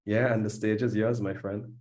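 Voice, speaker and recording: Low-voiced motivational host in an echoey room. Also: male voice, flat delivery, moderate pace, darkish tone. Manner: pep-talk cadence, repeated "yeah". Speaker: summit host. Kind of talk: motivational event hosting.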